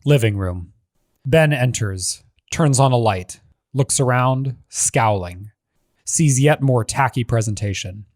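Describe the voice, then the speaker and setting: A male narrator reads screenplay action lines in a scripted style. His voice is mid-pitched, and the recording is dry with very little room sound. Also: slow pace, a little mellow, dry recording.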